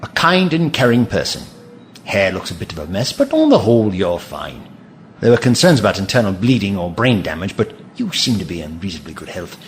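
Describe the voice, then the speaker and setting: A man playing a caring, curious alien man in an audio drama, precise and gently reassuring with dry wit. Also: low-pitched, huge pitch swings, fairly quick, slight background noise, exaggerated voice. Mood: reassuring, wry.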